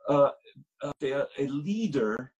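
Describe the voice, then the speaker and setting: A man's voice: mid-range voice, lively intonation, a little slow, darkish tone, lo-fi sound. A man from Austria speaking earnestly over a video call as a guest in a webinar interview, often restarting his sentences with fillers. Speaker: state trade director, guest speaker.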